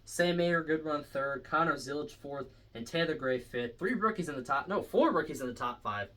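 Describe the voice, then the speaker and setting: A man's voice: on the high side, animated, normal speed, fairly bright tone. A young man casually reviewing race results on his own, rambling and correcting himself with lots of fillers. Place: indoors, stepping back from the camera at times.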